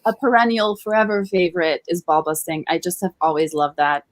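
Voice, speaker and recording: A woman's voice: on the low side, brisk, dry recording. A woman from Bosnia talking casually and frankly as an interview guest, at ease with swearing. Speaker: interview guest, professional dominatrix and educator. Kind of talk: casual, candid interview chat.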